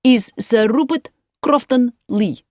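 A woman's voice: a little high, slow pace, a little mellow, dry recording, studio quality, pitched above the performer's own voice. A woman voicing an exuberant, hospitable foreign hotelkeeper in a storybook reading, effusive and emphatic. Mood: jovial, effusive.

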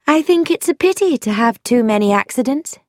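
A woman's voice: sits high, expressive, fairly quick, no room sound, clean studio sound, voice pushed up. A woman reading an audiobook voices a bold young aristocratic woman who speaks in a pointed, hard-edged way. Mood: sharp, pointed.

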